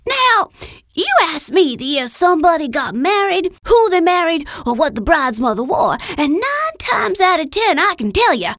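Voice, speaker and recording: Brief: woman doing a giggly, rambling old lady, high voice with a swinging lilt, dry close audiobook sound. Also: very animated, normal speed, a bit bright, no echo, exaggerated voice. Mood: chatty. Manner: rambling, laughing often. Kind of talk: audiobook reading.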